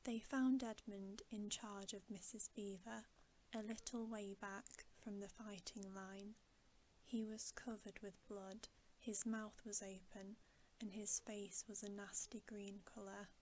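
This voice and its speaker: A woman reading a bedtime story in ASMR style, soft, breathy and often whispering, in a hushed, soothing manner. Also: mid-range voice, level tone, takes it slowly, a little hiss or hum. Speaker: ASMR host reading aloud.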